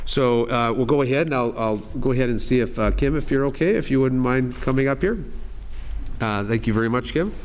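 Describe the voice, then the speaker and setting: A man with a fairly low voice leads a school board meeting. He speaks in a friendly, halting way, thanking people and introducing each speaker, with frequent "uh"s and restarts. He sounds like a meeting room. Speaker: school district administrator leading the meeting. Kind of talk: running a public board meeting.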